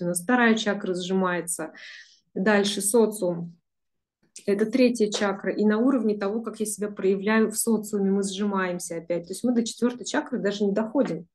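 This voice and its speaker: A woman's voice: medium pitch, darkish tone. A woman in her forties, a business psychologist, earnestly explaining to a group over a video call, with many fillers. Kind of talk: online group talk, explaining.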